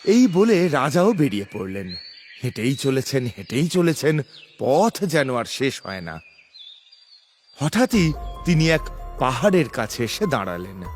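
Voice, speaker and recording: A man's voice: mid-range voice, very animated, a little slow, long pauses. Guy narrating a fairy tale, dramatic, voice goes up and down a lot, some music behind. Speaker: storyteller narrator.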